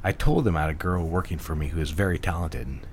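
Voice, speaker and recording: Man playing a low-voiced, patronizing office boss. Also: fast, a little mellow, slight background noise. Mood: brisk, patronizing. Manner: assured, teasing, condescending. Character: smooth, patronizing male ad executive boss. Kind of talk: period office audio drama acting.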